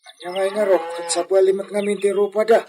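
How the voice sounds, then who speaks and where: A man's voice: high-pitched, level tone, a little fast, warm tone, a little hiss or hum, close to an everyday voice. A man with a Filipino accent acting a fretful rustic father in a radio drama. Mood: fretful, curious. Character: Benito's rustic old father, fretful farmhand.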